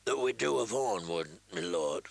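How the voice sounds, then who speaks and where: A man voicing a foul-smelling male prisoner who speaks roughly and humbly to his captor. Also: expressive, a little slow, dry recording, exaggerated voice. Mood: servile. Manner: rough, low-class speech.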